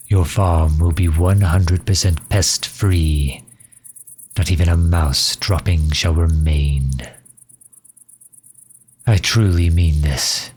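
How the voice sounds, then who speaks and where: A man plays an earnest male vampire diplomat in an intimate audio roleplay. His voice is very deep, soft and husky. He speaks slowly, with formal, old-fashioned courtesy. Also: full character voice. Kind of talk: scripted ASMR roleplay, addressing the listener.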